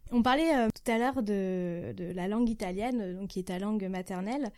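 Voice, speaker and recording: A woman's voice: mid-range voice, normal speed, a little mellow, no room sound, clean studio sound. A woman interviewer asking questions in a casual, conversational way. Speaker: podcast interviewer.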